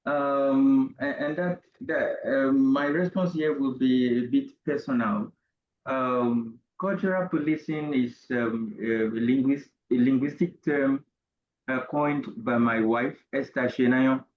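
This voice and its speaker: A middle-aged Nigerian man, an interview guest, telling a personal story in a deliberate, halting academic way. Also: mid-range voice, reverberant, narrow, tinny audio, a little hiss or hum. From Nigeria, Ogun State. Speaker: interview guest, university professor.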